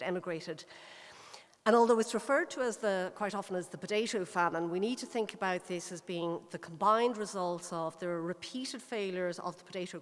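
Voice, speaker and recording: Irish woman, middle-aged, lower register, steady public history lecturer, hall reverb. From Ireland. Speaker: local history speaker.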